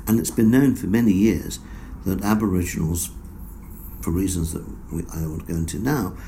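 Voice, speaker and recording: A man answering interview questions about his research in a measured, explanatory way, with frequent fillers. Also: sits low, mellow, slight background noise, poor audio. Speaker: researcher guest.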